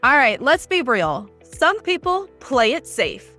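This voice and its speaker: Energetic female podcast host, emphatic, fairly high voice. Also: measured pace, faint music behind. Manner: upbeat, emphatic. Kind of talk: scripted podcast intro and interview.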